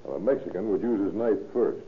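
Man playing tough police captain on old radio, deep voice, tinny sound, clipped and bossy, methodical. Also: fast talker, lightly acted. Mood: businesslike, musing. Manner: clipped, commanding, questioning. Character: brisk, authoritative male police captain, shrewd and methodical. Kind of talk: 1930s-40s radio drama acting. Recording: thin, phone-like old-time radio recording.

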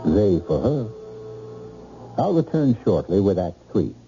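Male mystery host, theatrical and foreboding, unhurried delivery over prominent music, dull old radio sound. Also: lowish voice, big pitch swings, dark tone. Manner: theatrical, ominous. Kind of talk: suspenseful scripted radio narration.

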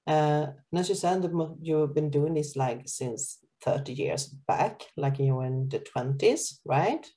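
A woman interviewing a guest in a calm, reflective way, with slightly non-native phrasing. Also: deep voice, unhurried. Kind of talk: casual conversational interview.

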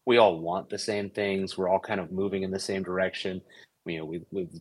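Podcast guest: young American man, low husky voice, relaxed and reflective. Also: a little fast. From United States, raised in Papua New Guinea. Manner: relaxed, thoughtful, with frequent fillers and restarts. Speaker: podcast guest, commercial pilot. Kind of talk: casual conversational interview.

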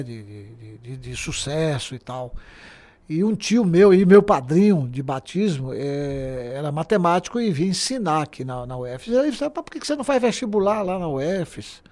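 A man, talking as a guest. His voice sits high for a man and swings widely in pitch as he tells jokey stories. He laughs easily and keeps a loose, chatty flow. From Bahia, Brazil. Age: 60s. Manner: animated, jokey, laughs often. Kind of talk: casual storytelling interview.

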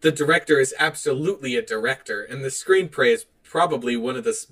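A man co-hosting a podcast in casual chat, animated and quick to laugh. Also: medium pitch. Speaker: podcast co-host. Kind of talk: casual chat, reading out online reviews.

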